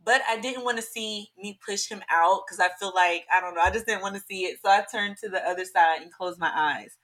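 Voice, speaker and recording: Young adult woman, bright with a touch of hoarseness; casual animated storyteller. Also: mid-range voice, brisk, rough recording. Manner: chatty, animated, many fillers. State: slightly hoarse. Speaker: podcast guest.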